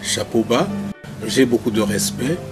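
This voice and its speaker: A man in his fifties from Kinshasa, a teacher speaking about a former student in a measured way with many pauses. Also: medium pitch, over music. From Kinshasa, Congo. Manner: measured, with pauses and set phrases. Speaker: teacher giving a testimonial. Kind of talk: testimonial interview. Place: outdoors in a garden.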